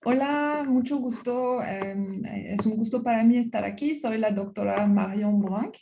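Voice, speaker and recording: A woman's voice: a little high, a little slow, creaky, noisy background. French-accented woman giving a talk. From France. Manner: hesitant, many fillers and restarts. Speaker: university researcher giving a talk. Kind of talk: conference talk.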